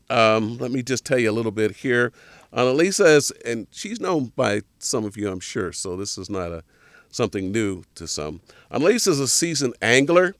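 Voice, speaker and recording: A man's voice: medium pitch, no room sound, studio quality. A man hosting a podcast interview in a casual, upbeat way, sometimes stumbling over his words. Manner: upbeat, bursts of excitement, frequent restarts. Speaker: podcast host.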